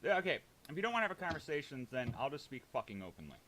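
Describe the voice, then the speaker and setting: A man's voice: mid-range voice, sing-song, very fast, bright, forceful tone. A man arguing confrontationally and swearing often in a heated group conversation. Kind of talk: heated group voice call argument.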